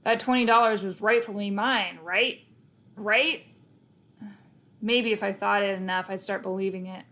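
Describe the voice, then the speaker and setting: A woman reads a children's novel aloud in a mid-pitched voice. She tells it in the first person, leaning on key words and acting out the story as she goes. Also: moderate pace, long pauses, fairly bright tone. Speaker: storybook reader.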